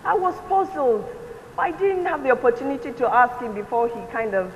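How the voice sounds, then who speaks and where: An actress plays an inquisitive, earnest Nigerian woman in a stage play. Her voice is very high and sounds a little dull in a reverberant hall. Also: sing-song, a little fast, a little hiss or hum. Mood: curious, earnest. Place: indoor theatre stage set.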